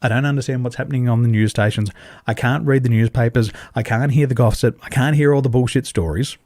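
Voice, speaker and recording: An Australian man, a podcast guest, speaking on a video call and telling animated stories, acting out dialogue with big leaps in pitch and emphatic repetition. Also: fast talker, no room sound, clean studio sound. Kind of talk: animated conversational storytelling. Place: home in Taiwan, over a video call.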